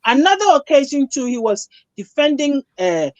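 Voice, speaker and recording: A woman's voice: sits high, sing-song, moderate pace, no room sound, lo-fi sound. A Ghanaian woman in a group discussion, telling her story emphatically and with indignation. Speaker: panelist. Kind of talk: casual discussion.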